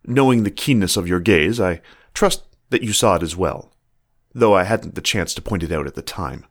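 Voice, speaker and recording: Man voicing a genteel fox letter-writer, formal and measured, low voice, lively pitch. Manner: formal, measured, old-fashioned. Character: genteel, earnest fox writing love letters. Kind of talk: reading letters aloud from a story.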